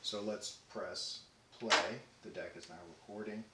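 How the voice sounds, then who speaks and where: A man casually demonstrating a tape deck, talking in a relaxed way with many ums and restarts. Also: lowish voice, a little slow, very noisy. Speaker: audio equipment reviewer. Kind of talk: casual product demo. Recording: roomy echo with faint music.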